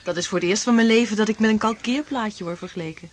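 An actress playing an affectionate, playful young lodger in a radio drama, coaxing and direct. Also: female voice, mid-range voice, fast, slight background noise, lightly acted. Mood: reproachful. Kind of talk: Dutch radio drama acting.

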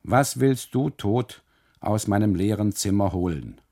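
A man's voice: on the low side, animated, measured pace, dark tone. A man voicing a mocking, leisurely custodian in a literary radio monologue, speaking formally and declaiming without hurry. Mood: declamatory, mocking. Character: mocking, leisurely smoking male custodian, coolly expansive.

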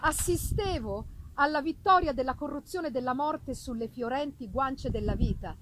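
High-pitched woman reading theatrically. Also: heavy background noise. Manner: theatrical, deliberate phrasing with dramatic pauses. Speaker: reader performing a gothic novel. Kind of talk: dramatic literary reading to live music. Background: live musical accompaniment.

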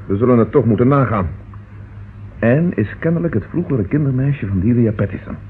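An actor playing an earnest, insistent police detective in a radio play. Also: male voice, medium pitch, lively intonation, brisk, narrow, tinny audio, natural voice. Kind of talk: old radio play acting. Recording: thin, noisy old radio recording.

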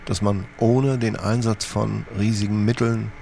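A man's voice: on the low side, flat delivery, measured pace, creaky, darkish tone, a little hiss or hum. A man being interviewed, talking reflectively.

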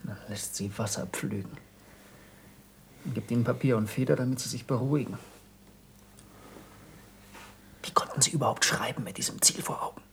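An actor playing an earnest, intense former pupil of a scientist in a German radio play, speaking tersely and formally, with stern gravity. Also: male voice, mid-range voice, quick pace, creaky, in a whisper, close to an everyday voice. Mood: stern, bitter.

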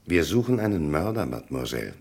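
An actor playing a shrewd police commissioner in a German radio crime drama, courteous but relentless, with formal, commanding questioning. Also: male voice, extremely low pitch, very animated, fast talker, a little mellow, exaggerated voice. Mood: commanding, accusing.

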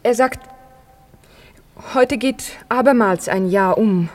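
An actress playing a poor young daughter, loving, playful yet earnest, in a 1950s German radio play with expressive delivery. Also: female voice, on the high side, takes it slowly. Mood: affectionate, hesitant. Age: young adult.

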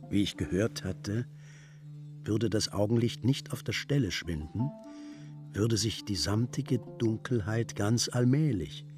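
An actor playing a solemn, devout old master miniaturist in a radio drama, measured and at times sinking to a near whisper. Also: male voice, lowish voice. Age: older. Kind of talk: literary radio drama, first-person narration and dialogue.

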